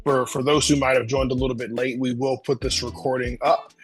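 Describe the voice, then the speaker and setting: A man with a middling pitch wraps up an online session, thanking everyone over and over. He speaks on a video call, with faint music under his voice. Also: flat delivery, brisk, poor audio. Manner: repetitive thank-yous, slightly rambling. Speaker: host closing the session. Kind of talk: closing announcements.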